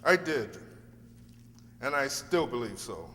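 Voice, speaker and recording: A man's voice: medium pitch, sing-song, takes it slowly, pushed and bright, exaggerated voice. A man in a radio play acting an earnest, courteous defence lawyer who speaks formally and politely. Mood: taken aback. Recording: echoey hall, faint noisy hum.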